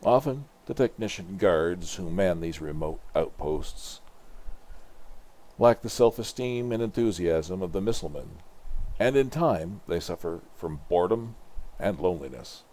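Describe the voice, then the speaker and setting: Older man, low register, storyteller reading comic-book narration aloud. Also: lively intonation, a little slow, a little mellow, low-quality recording. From Canada. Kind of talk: read-aloud narration with introduction.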